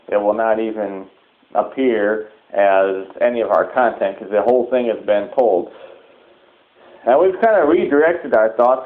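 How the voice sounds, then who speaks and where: Male organization leader, mid-range voice, formal and deliberate announcement delivery, thin telephone-grade recording. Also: mid-range voice. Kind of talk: public address, semi-scripted announcement. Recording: narrow, phone-like sound.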